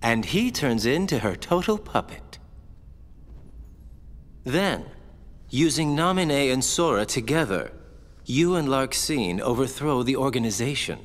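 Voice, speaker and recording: An actor playing a sly, cocky villain in a video game, sardonic and teasing, toying with phrases. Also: male voice, mid-range voice, lively intonation, unhurried, long pauses. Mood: sly, mocking. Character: Axel, sly cocky mocking male villain. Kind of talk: video game cutscene acting. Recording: long reverb, music behind.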